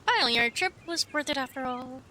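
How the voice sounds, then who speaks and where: A woman's voice: high-pitched, expressive, quick pace. A woman streaming a game, chatting casually as she reads along and reacts. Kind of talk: casual livestream chat, reading dialogue and reacting. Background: loud music.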